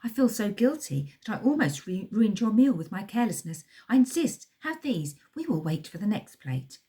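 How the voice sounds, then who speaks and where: A woman's voice: mid-range voice, sing-song, moderate pace, mellow, voice pushed up, close to an everyday voice. A woman voicing a polite, charming young woman who coaxes her guests. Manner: gracious, coaxing.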